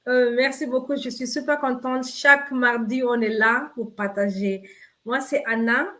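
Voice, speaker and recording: A woman's voice: a little high, steady tone, normal speed, bright, forceful tone. A woman from Kenya speaks on a live online chat, searching for her words. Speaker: guest panelist.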